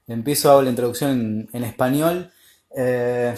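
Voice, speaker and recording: A man with an Argentine accent hosts a casual live interview, switching between Spanish and careful, halting English. His voice sits at an ordinary middle pitch and carries a noticeable roomy echo. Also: darkish tone. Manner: halting, searching for English words.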